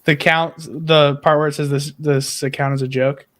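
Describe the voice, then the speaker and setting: A man's voice: fairly high pitch, level tone, normal speed. A man co-hosting a podcast, chatting casually about fan news with a joking, irreverent manner. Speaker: podcast co-host.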